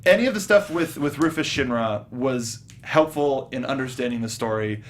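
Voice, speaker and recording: A man hosting a review show, talking casually and haltingly in a geeky, informal way. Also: medium pitch, expressive, a little hiss or hum. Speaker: host of a film review show. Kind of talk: casual panel discussion.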